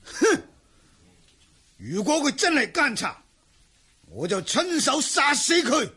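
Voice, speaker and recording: A man's voice: very high voice, big pitch swings, slow pace, bright, forceful tone, heavily acted. A male actor playing a proud, troubled martial-arts manor master in a Cantonese wuxia radio drama, with emphatic, sighing delivery. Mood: furious.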